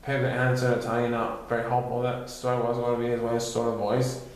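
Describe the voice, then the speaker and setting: A man's voice: mid-range voice, monotone, brisk. A man narrating a children's storybook expressively, recorded in a very echoey room. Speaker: storybook reader.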